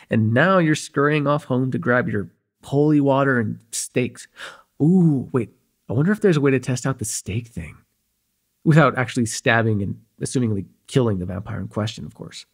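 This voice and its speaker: Man acting a breathy, cocky young male vampire. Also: medium pitch. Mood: pleading, teasing, then musing, excited. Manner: rambling, playful, slangy, hesitant asides. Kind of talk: immersive ASMR roleplay acting, casual and conversational.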